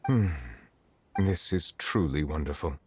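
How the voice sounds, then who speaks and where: An actor playing a dignified, reserved chief justice in an anime game, speaking in a formal, measured, courteous way. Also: male voice, rumbling low, animated, exaggerated voice. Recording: music bed underneath.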